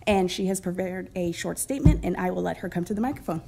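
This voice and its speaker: A woman's voice: lowish voice, fast talker, vocal fry, mellow. Friendly woman presenting at a council meeting. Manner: friendly, with frequent ums. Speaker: council member introducing appointees. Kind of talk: formal meeting introductions. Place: council chamber.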